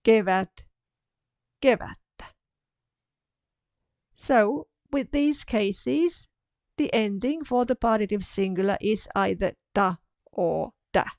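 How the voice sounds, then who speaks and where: Slow, careful woman teaching vocabulary. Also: mid-range voice, a little mellow, dry recording, clean studio sound. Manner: repeats each example word slowly and deliberately. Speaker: language teacher. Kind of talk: slow, clear scripted lesson narration.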